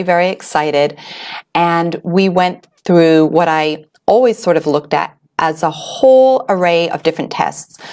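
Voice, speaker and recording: Middle-aged woman, Swiss-born, earnest storyteller, lowish voice, reflective personal talk. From Switzerland. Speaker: wellness author and host. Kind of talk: personal story told to an audience.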